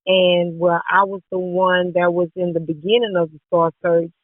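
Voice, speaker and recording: A woman's voice: fairly low pitch, flat delivery, moderate pace, no echo. A woman singer from southeast Texas, telling her story as a radio guest. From southeast Texas and Louisiana. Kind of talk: phone-in interview. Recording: tinny phone line.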